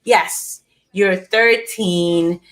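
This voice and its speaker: A woman's voice: lowish voice, drawn-out words. A woman in her forties from Peru, telling a personal story in a casual chat with many fillers and restarts, recorded over a video call. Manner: animated, laughs often, many fillers and restarts. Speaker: co-host.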